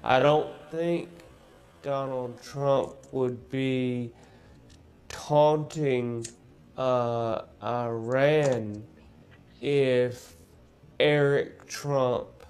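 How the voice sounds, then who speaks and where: A man giving political commentary on television in a measured, deliberate way. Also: medium pitch, fairly even intonation, darkish tone.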